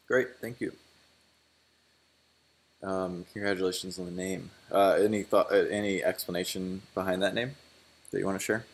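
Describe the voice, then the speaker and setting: A man's voice: low-pitched, steady tone, moderate pace, pauses often. A man moderating a developer video call, calling on each team in turn.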